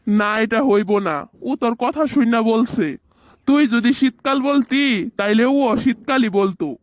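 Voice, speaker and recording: A man's voice: extremely high pitch, takes it slowly, no echo. A man voicing a teasing, contrary older brother in a comic cartoon, mocking and argumentative, with a Bangladeshi accent. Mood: stubborn, argumentative.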